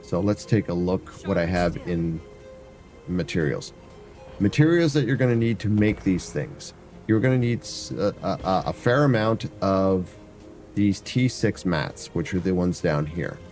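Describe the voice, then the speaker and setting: A man's voice: sits low, darkish tone. A man with a stuffy nose chatting casually and rambling while he walks through a game on a live stream. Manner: rambling, thinks aloud, hums to himself. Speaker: variety streamer. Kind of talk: casual gameplay commentary.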